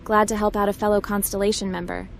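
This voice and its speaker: A woman playing a young space pilot recruit in a video game, speaking in a brisk, matter-of-fact way. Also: medium pitch, flat delivery, gravelly, slight background noise, lightly acted. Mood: friendly. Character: young female space pilot recruit, hacker, guarded and polite. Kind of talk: scripted video game roleplay dialogue.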